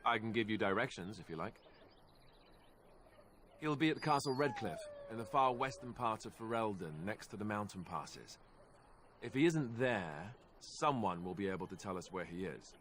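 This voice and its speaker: An actor playing a young warrior in a fantasy game, drily sarcastic and self-deprecating, with a hesitant conversational delivery. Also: male voice, on the low side, lively intonation, normal speed, fairly bright tone, slight background noise. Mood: earnest, uncertain. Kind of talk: fantasy video game dialogue acting.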